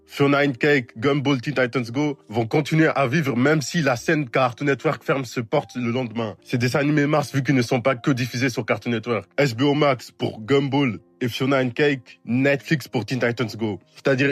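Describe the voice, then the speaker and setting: A young man commenting casually on cartoons in a relaxed, slangy way. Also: medium pitch, breathy edge. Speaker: video essayist.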